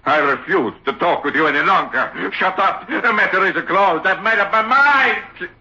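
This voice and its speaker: An actor playing a frightened, domineering ventriloquist in an old radio horror play, blustering and overwrought. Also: male voice, high-pitched, expressive, moderate pace, sharp tone, exaggerated voice. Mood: frightened, frantic. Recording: dull lo-fi old radio recording.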